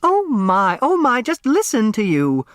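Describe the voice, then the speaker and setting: Man as motherly older woman, storybook character, raised pitch, fussy, gently chiding, dry sound. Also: huge pitch swings, a little slow, no echo, full character voice. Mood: kindly chiding. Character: kindly, scolding, motherly older woman in a novel.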